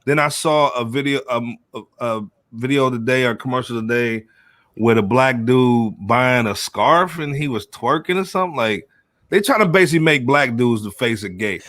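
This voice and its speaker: A man's voice: medium pitch, normal speed, a bit bright, no room sound. A man hosting a casual panel chat, speaking bluntly and full of slang. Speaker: podcast host.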